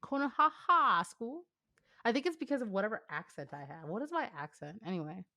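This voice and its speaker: A woman's voice: medium pitch, big pitch swings, fairly quick, bright, forceful tone, no room sound, clean studio sound. A woman co-hosting a casual fan fiction read-aloud, joking and breaking off into chatty, informal commentary. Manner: informal, joking, goes off on tangents.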